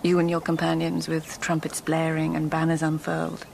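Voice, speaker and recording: An actress playing a poised, poetic court lady in a radio drama, refined and measured, at times hushed. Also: low-pitched, completely flat delivery, creaky, a little hiss or hum. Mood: arch, probing. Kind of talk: radio drama acting, poetic narration and dialogue.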